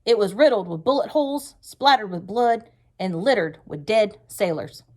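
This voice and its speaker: A woman's voice: medium pitch, lively intonation, moderate pace, close to an everyday voice. A woman reading a storybook aloud, voicing a fierce, hard-driving male wartime Navy commanding officer with stern, emphatic delivery. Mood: grim, stern. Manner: stern, forceful, emphatic speech-making. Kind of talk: read-aloud storybook character voice.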